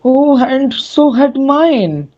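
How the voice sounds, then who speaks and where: A performer playing a tender, wondering young gentleman, a long-lost twin brother, in a dramatic Shakespeare reading. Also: sits high, very animated, drawn-out words, exaggerated voice. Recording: dull lo-fi audio.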